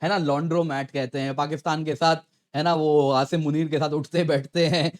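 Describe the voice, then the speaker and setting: A man's voice: on the high side, fairly even intonation, brisk. A man presenting current affairs as an educator, conversational, addressing viewers directly and posing rhetorical questions. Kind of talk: explanatory news commentary to viewers.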